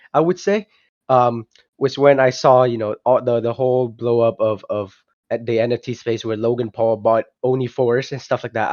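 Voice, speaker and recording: A man's voice: medium pitch, no room sound. A young man from Vietnam, a guest talking casually on an online call, hesitant and full of restarts and fillers. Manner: hesitant, many restarts and "you know" fillers. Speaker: guest, project founder. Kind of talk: casual podcast interview. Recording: dull lo-fi online call audio.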